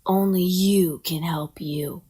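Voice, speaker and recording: Woman voicing an old male turtle, drawling breathy whisper, lower pitch. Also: steady tone, slow pace, mellow. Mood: laid-back. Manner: laid-back, drawling. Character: old wise slow-moving male snapping turtle.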